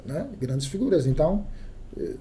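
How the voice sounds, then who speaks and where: A man's voice: on the high side, sing-song, normal speed, dark tone. A man from Angola whose first language is Kissolongo, speaking Angolan Portuguese as a journalist guest on a podcast, with many fillers and restarts and emphatic turns. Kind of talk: casual podcast interview.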